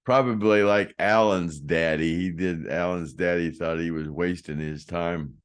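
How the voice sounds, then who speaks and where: Older man with a deep low voice. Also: fairly even intonation, unhurried, clear, resonant tone. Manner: rambling, trailing off and restarting. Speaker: host, former band roadie. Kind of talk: casual storytelling chat.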